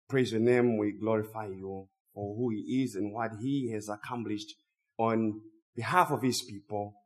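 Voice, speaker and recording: A man from Zimbabwe, for whom English is a second language, preaching a sermon as a guest speaker while he has a cold. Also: medium pitch. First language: not English. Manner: slow, drawn-out emphatic phrasing.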